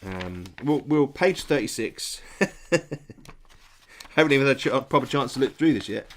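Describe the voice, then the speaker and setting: A middle-aged man with a congested, nasal voice from a cold talks casually to his live-stream viewers. He speaks at a middling pitch, halting often with ums and restarts, and stays friendly as he reads their messages. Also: lively intonation. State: stuffy nose from a cold. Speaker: game designer hosting a live stream.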